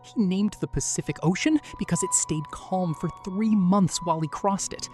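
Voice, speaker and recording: A woman narrating a first-person horror story, frightened and at times breathy. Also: fairly low pitch, normal speed, warm tone, soft background music.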